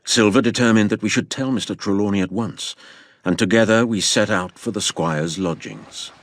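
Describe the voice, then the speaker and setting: An actor playing a man recounting his boyhood sea adventure, in measured, literary scripted narration over faint ambience. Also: fairly low pitch, natural voice. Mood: grave. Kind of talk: scripted narration in audio drama.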